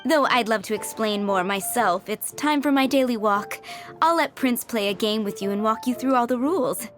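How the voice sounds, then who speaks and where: A woman's voice: fairly high pitch, moderate pace, faint music behind, lightly acted. A woman voicing a cheerful, breezy adult tavern owner who bosses her staff around, in a game. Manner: breezy, upbeat, firm.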